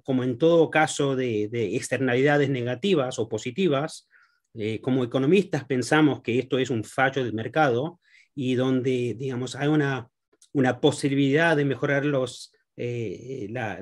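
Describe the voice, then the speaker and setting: A man who grew up in Argentina, a guest economist in an interview, speaking haltingly with many fillers and restarts. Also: medium pitch. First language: Spanish.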